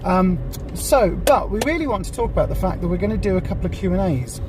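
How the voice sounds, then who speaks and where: A vlogger with a croaky voice from a cold, chatting in a rambling way with lots of ums from inside a car. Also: medium pitch, animated, fast, distant mic. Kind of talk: casual chat. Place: in a parked car. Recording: noisy car interior. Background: street and traffic noise.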